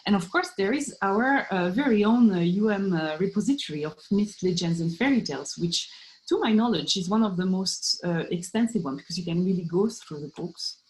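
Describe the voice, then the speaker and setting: A woman's voice: mid-range voice, animated, fairly quick, darkish tone, rough recording. A woman giving thoughtful, explanatory answers in an interview as an academic expert. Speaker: professor of art and literature history, interviewee.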